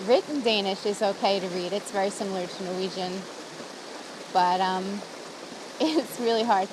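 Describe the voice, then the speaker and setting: A woman's voice: medium pitch, moderate pace, noisy background. An American woman chatting casually to the camera outdoors by a campfire, laughing often as she talks. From USA. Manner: chatty and giggly, talking to camera while cooking. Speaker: vlogger. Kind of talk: casual outdoor vlog chat.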